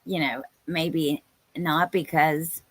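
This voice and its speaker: A woman's voice: sits low, fairly even intonation, slow pace, husky, no room sound. A woman in her thirties hosting a live stream, chatting informally and exclamatorily with viewers and reading out their comments.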